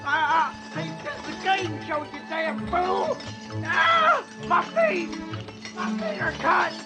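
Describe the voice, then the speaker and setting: An actor playing a cocky, folksy small-town game show contestant in a comic radio drama, with an American accent and a rambling, stammering delivery. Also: male voice, extremely high pitch, lively intonation, takes it slowly, over loud music, heavily acted. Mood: panicked, screaming.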